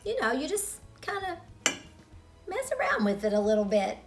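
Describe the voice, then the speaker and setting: A middle-aged woman telling a childhood story to children in a deliberate, expressive manner with dramatic pauses. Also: sits high, soft background music.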